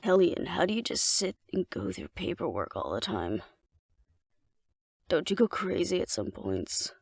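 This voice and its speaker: Woman playing a flirty, gravelly alpha werewolf woman. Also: fairly low pitch, big pitch swings, unhurried, dry recording, clean studio sound, full character voice. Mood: flirty, teasing. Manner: teasing, coaxing, playfully domineering. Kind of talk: intimate scripted audio roleplay addressed to the listener.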